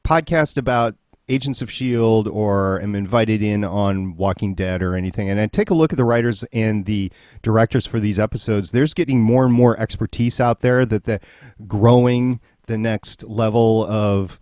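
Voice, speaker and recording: Man, low voice, podcast host, conversational, joking, dry recording. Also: normal speed, a little mellow, no echo. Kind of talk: casual TV recap chat.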